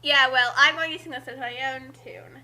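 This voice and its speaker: Very high, piercingly bright woman, giggly. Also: steady tone, moderate pace. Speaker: co-reader commenting on a fan fiction reading. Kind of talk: amused casual commentary.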